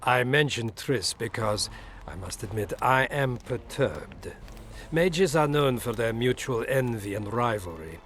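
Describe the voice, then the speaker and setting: An actor playing a suave, middle-aged imperial ambassador in a video game, formal, measured and insinuating. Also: male voice, medium pitch, lively intonation, fairly bright tone, a little hiss or hum. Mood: politely probing. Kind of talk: video game dialogue acting. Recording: music behind the voice.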